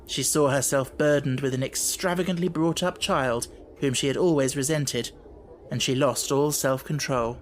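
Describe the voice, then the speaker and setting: A man's voice: medium pitch, steady tone, moderate pace, slight background noise. A man reading scripted literary narration as an audiobook narrator.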